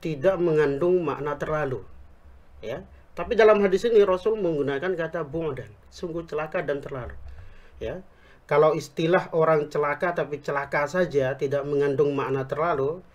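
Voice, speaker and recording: A man explaining religious teaching on a talk show, speaking Indonesian with a Javanese accent picked up in Yogyakarta, quoting Arabic scripture in a measured way. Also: on the high side, darkish tone, lo-fi sound. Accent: Javanese-tinged Indonesian picked up in Yogyakarta. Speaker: Islamic preacher, guest.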